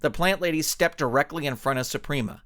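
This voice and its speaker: A man reading his own serialized story as an animated scripted narration. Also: a little high, fast.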